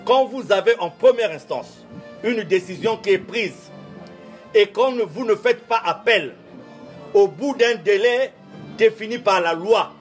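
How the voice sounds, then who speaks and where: A man whose first language is not French, giving a formal interview statement as a church official, speaking in a measured, oratorical way with repeated emphasis. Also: high voice, soft background music.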